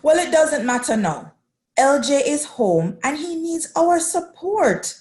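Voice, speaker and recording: A woman's voice: sits high, sing-song, slow pace, a little mellow, full character voice. A woman playing a firm, protective mother who scolds her grown daughter in a radio drama. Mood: stern. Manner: stern and scolding.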